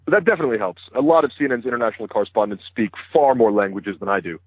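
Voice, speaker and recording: Man in his thirties, native Hebrew speaker, brisk and open, husky, phone-line sound. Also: medium pitch, no echo. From United States. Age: 30s. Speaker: interview guest, news correspondent. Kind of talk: phone interview conversation. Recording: dry, tinny phone line.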